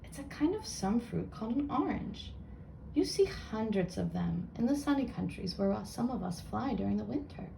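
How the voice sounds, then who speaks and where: A woman's voice: mid-range voice, very animated, moderate pace, gravelly, dark tone, slight background noise, rough recording. A woman voicing a helpful, knowing little chaffinch in a storybook, explaining things. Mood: informative.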